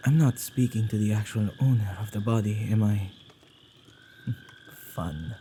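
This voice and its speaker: A man playing a playful, possessive male witch in an ASMR roleplay, with a husky, dark voice and a teasing, theatrical, musing manner. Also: on the low side, measured pace, a little hiss or hum, close to an everyday voice. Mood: amused, teasing.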